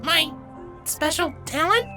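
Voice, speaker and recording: A woman's voice: very high-pitched, lively intonation, takes it slowly, bright, forceful tone, faint music behind, exaggerated voice. A woman voicing a shy, bookish young changeling princess filly in a fan fiction reading, with a hesitant, earnest manner. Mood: hesitant, awkward.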